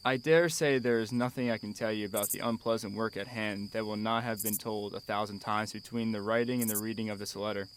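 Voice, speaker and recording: A man's voice: on the low side, a little hiss or hum, natural voice. An actor playing a wistful, proud middle-aged father in a radio drama, articulate, wry and formal. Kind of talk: radio drama acting, letter narration.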